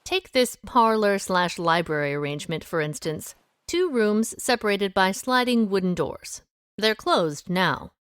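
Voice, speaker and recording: An actress playing a confident, wry amateur sleuth who questions suspects briskly and methodically in an audio drama. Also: female voice, medium pitch, animated, fairly bright tone, smooth, no echo, clean studio sound. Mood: brisk, probing.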